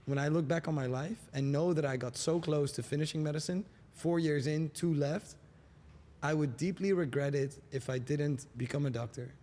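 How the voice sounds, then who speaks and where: A young man from Afghanistan speaks in a slightly high voice, thoughtful and sincere, his words trailing through fillers as he works out what he means. His voice carries a long reverb, as if the room around him is large and bare. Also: darkish tone. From Afghanistan, raised in the Netherlands. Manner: earnest and reflective, with many "like" fillers. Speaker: guest. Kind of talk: candid podcast conversation.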